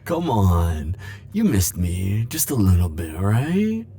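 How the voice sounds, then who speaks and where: Man playing low, slow, flirty immortal charmer. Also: wildly swooping pitch, warm tone, slight background noise, full character voice. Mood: flirtatious, teasing. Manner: coy, theatrical, drawn-out teasing phrasing. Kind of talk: ASMR roleplay audio acting.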